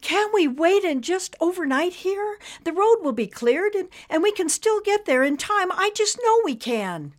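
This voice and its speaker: A woman reading aloud, voicing an anxious older grandmother who pleads in a high, wavering voice. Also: sing-song, a little slow, few pauses, full character voice. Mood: pleading. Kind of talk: storybook character voice.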